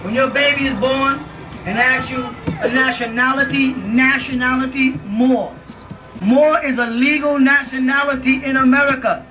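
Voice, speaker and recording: A man's voice: extremely high pitch, fairly even intonation, moderate pace, pushed and bright, a little hiss or hum. A man giving an emphatic, informal lecture to a live audience in a room, often checking in with his listeners. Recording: dull lo-fi audio from a distant mic.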